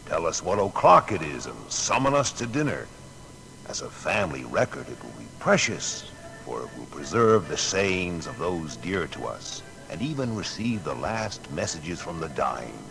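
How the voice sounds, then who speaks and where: An actor playing a proud male inventor recounting his discoveries in a deliberate, declamatory, gravelly voice for an audio biography. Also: low-pitched, big pitch swings, a bit bright, faint music behind, full character voice, low-quality recording. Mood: proudly visionary. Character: Thomas Edison, American inventor recounting his discoveries with pride. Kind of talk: dramatised first-person narration.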